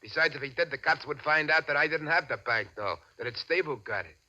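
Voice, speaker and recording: An actor playing a cocky, greedy American racketeer who talks in boastful gangster slang, in the style of 1940s radio crime drama. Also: male voice, a little high, fast talker, bright, forceful tone. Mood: defiant, scheming. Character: cocky, greedy small-time racketeer man with a swaggering streak. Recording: dull, band-limited old radio recording.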